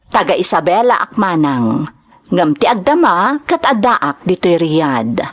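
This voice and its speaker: A woman with an Ilocano accent hosting a drama programme, reading out greetings and a listener's letter. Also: medium pitch. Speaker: host and drama narrator.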